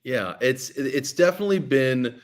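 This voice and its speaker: A man's voice: mid-range voice, expressive, normal speed. A man being interviewed as a guest, a fiction podcast producer answering questions with enthusiasm.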